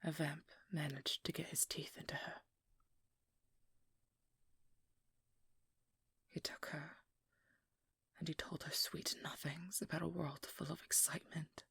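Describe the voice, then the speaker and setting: A woman playing a guarded, protective young vampire hunter in a hushed, breathy ASMR roleplay, speaking slowly with halting pauses close to the mic. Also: sits low, little pitch movement, dry recording, full character voice. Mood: bitter, sorrowful. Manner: slow, halting, intimate delivery with pauses. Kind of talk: whispered ASMR roleplay, dramatic monologue. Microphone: close microphone, dry.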